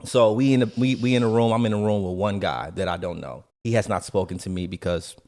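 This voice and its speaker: A man from co-hosting a podcast, telling stories in a casual, slangy and animated way. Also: fairly low pitch, fast. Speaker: podcast co-host and storyteller. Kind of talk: casual conversational storytelling.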